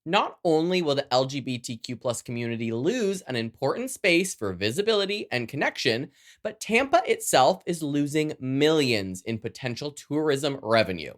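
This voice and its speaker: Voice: mid-range voice, very animated, moderate pace. A podcast host with a Canadian accent reading a scripted news round-up with chatty, campy, playful asides. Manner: sassy, playful.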